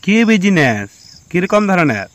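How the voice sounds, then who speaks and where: A man voicing a nosy, excitable prospective father-in-law in a comic cartoon, questioning and exclaiming. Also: high voice, a little slow, exaggerated voice. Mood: inquisitive.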